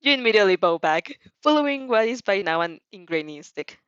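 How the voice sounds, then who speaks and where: A woman narrates a fan-made audio story with expression. Her voice is medium in pitch. The recording is very dry and slightly dull. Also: expressive, brisk, fairly bright tone, clean tone, dry recording. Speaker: fan fiction reader.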